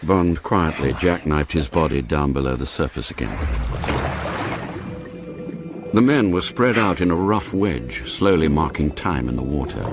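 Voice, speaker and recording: An actor narrating an action spy thriller for radio drama in a measured, deliberate storytelling manner. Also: male voice, a bass voice, animated. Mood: grave suspense. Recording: loud dramatic music under narration.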